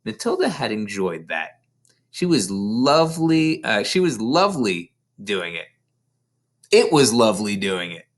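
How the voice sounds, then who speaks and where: A man reading a children's story aloud in an expressive, dramatic storytelling manner. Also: on the low side, unhurried. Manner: dramatic, animated storyteller delivery. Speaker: storybook reader.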